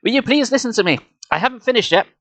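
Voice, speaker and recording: An enthusiastic middle-aged dad in a children's story, as a reader puts him on. He explains things in an upbeat way, with animated intonation and a close, dry sound. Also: medium pitch, normal speed, dry recording, voice pushed up, close to an everyday voice. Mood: insistent. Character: enthusiastic middle-aged father, a wildlife photographer.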